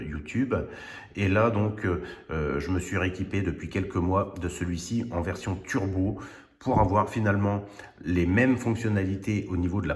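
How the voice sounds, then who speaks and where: A man informally demonstrating a product, earnest and a bit hesitant, repeating words for emphasis. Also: low voice. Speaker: radio hobbyist presenter. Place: indoors at home radio station. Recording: roomy, echoing room sound.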